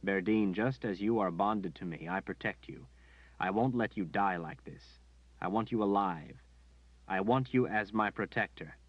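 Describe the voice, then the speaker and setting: A man voicing a calm, steadfast young male hero who speaks quietly and earnestly. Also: on the low side, moderate pace, dry recording, lightly acted. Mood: gentle. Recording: dull dry recording.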